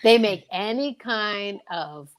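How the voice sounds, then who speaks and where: A woman's voice: mid-range voice, lively intonation, slow pace, crowd noise. A woman hosting a casual online chat show, teasing her companions and making showy announcer-like asides to the audience. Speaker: host.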